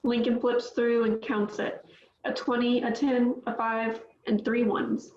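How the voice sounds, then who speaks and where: Woman, stage directions, matter-of-fact, higher voice, roomy muffled audio. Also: flat delivery, a little slow, darkish tone, a little hiss or hum. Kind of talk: reading stage directions at a table read.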